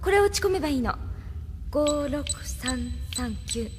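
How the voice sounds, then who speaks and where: An actress playing an earnest, capable young resistance operative in an anime audio drama, speaking persuasively. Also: female voice, high-pitched, animated, unhurried, long reverb tail, heavy background noise. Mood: assured.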